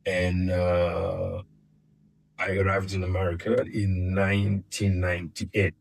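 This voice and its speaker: Guy, middle-aged, really deep voice, kinda husky, stops and repeats words a lot. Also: monotone, takes it slowly, poor audio. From Senegal. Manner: hesitant, repeats words while searching for them. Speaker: guest filmmaker. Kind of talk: casual interview.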